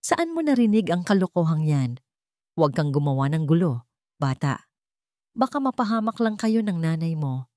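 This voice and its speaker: A woman's voice: sits low, very animated, moderate pace, long pauses, warm tone, dry recording, studio quality. A woman voicing a kindly but fearful elderly cook in a dramatic story, cautioning and resigned in manner. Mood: alarmed scolding.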